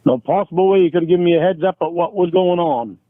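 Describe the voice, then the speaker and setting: A man's voice: high voice, fast, no echo. A man arguing angrily over a phone call, swearing and pleading, recorded on a phone line. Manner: angry, pleading, swears a lot. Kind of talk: heated phone argument. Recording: tinny phone line, dry.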